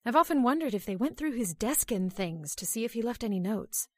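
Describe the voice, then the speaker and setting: A woman's voice: on the high side, expressive, a little fast, dry recording. Woman acting an earnest young wife, dry sound. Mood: wistful. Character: inquisitive married woman, wistful heiress to a house. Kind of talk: old-time radio drama acting.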